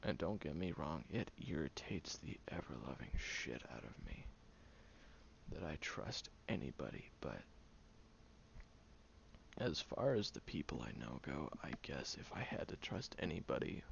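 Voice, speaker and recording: A man playing a prickly, guarded young loner who grudgingly cares, in an intimate one-sided audio roleplay, sardonic and hesitant with breathy murmurs. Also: rumbling low, big pitch swings, a little slow, heavily acted. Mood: reluctantly vulnerable. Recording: muffled, lo-fi, noticeable background hiss.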